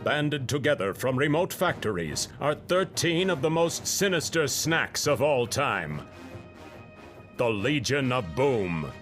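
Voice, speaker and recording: A man's voice: medium pitch, big pitch swings. A man narrating a mock-epic advert in a grandiose announcer manner. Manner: slow, grandiose. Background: loud music.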